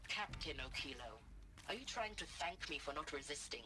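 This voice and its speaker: Measured, bitter female captain over noisy background. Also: deep voice, expressive. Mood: bitter, defiant. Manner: formal, measured, defiant. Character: proud, bitter female captain, a battle-scarred cyberwarfare veteran. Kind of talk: video game voice acting. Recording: game audio with clear background noise.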